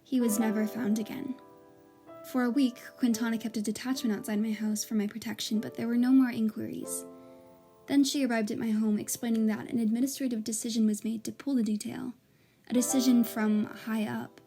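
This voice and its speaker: Casting: actress playing a determined female investigative journalist, earnest, a little flustered, fairly high voice, naturalistic audio drama. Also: steady tone, brisk, dark tone, soft background music, natural voice. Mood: grave, confiding. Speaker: actor and narrator in an audio drama. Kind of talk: podcast-style narration and naturalistic drama dialogue.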